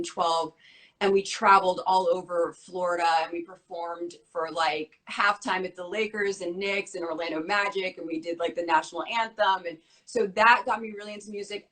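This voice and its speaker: A young adult woman talking in a casual interview, chatty and quick with lots of filler words, her voice worn from losing it. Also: lowish voice, fairly bright tone, rough recording. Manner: fast, bubbly talk with many "like" fillers. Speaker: interview guest.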